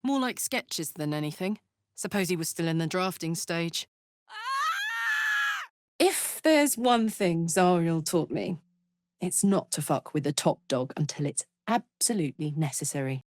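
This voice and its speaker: A woman playing a brash, warm-hearted tough warrior in a video game, blunt and sweary with a casual swagger and earnest feeling. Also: fairly low pitch, wildly swooping pitch, unhurried, no echo. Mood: wry, bold, then shouting, fierce. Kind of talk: video game character voice lines.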